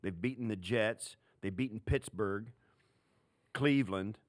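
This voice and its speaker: A man's voice: lowish voice, measured pace, no room sound. A man with an American accent co-hosting a football podcast as a former player, casual and emphatic with punchy, repeated phrases.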